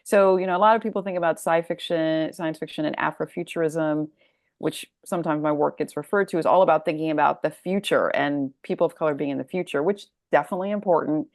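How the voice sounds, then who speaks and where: A woman author answering interview questions conversationally, thoughtful and expressive, leaning on key words. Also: low voice, fast, dry recording, studio quality. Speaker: author, podcast guest.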